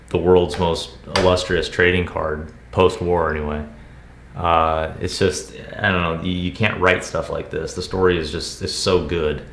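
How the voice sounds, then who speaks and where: American male presenter, low and even-toned, casual unscripted showcase delivery, recorded in an echoey room. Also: a little hiss or hum. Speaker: presenter of collectibles showcase videos. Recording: roomy, echoing indoor sound.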